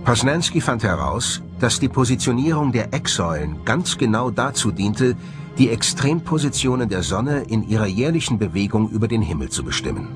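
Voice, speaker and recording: A man narrates a documentary in a fairly low voice. His intonation is very animated, rising and falling widely through the scripted lines. Music plays clearly behind him. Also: normal speed.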